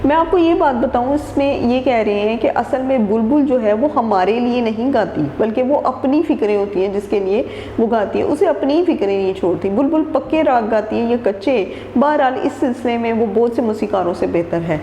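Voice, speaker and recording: Lady teacher going over a textbook line by line, warm low-key tone, faint hiss in the room. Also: expressive, a little fast, few pauses. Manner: reads each sentence, then restates it in simple words. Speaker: Urdu teacher. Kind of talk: reading a textbook passage and explaining it line by line. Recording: echoey room with long reverb and faint noise.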